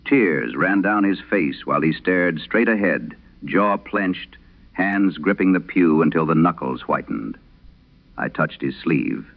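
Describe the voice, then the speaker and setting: A man narrating as a troubled wife reading her haunted letter in a vintage horror radio drama, solemn and measured. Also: sits low. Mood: somber, uneasy. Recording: dull lo-fi vintage radio sound.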